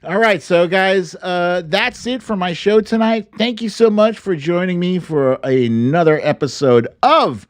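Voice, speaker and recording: Slow-talking man hosting a casual livestream. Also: sits high. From Brooklyn, New York. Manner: informal, slangy, addressing viewers directly. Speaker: host of a livestream talk show. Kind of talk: casual livestream chat with viewers.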